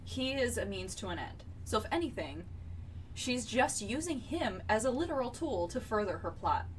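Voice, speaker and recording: A woman's voice: on the high side, very animated, normal speed. A woman co-hosting a book podcast, talking casually in a critical, emphatic, exasperated way and sometimes reading passages aloud. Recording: distant mic, faint background noise.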